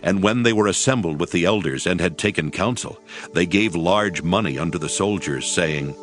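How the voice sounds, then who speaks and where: A man narrating a dramatized Bible reading in a solemn, measured storytelling manner. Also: deep voice, over music.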